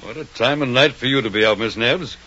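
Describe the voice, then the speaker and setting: A man's voice: mid-range voice, a little fast, pushed and bright. An actor playing an easygoing, protective small-town policeman in a vintage radio drama, speaking in a folksy, clipped way. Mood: concerned, friendly. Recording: muffled old radio recording.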